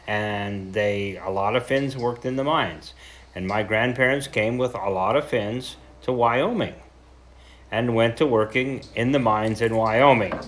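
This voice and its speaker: A man in his seventies with an American accent, speaking informally as a heritage lecturer, telling his story reflectively with pauses and self-corrections. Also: medium pitch, fairly bright tone. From central Idaho, United States. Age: 70s. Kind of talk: informal talk to a local group.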